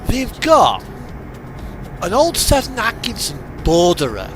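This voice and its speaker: A man's voice: sits high, huge pitch swings, slow pace. A British man rambling casually and a little hesitantly as he plays a driving game, chatting in loose asides. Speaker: gaming streamer. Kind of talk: casual rambling chat while playing a truck driving simulator. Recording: music playing behind the voice. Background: music, vehicle engine sounds.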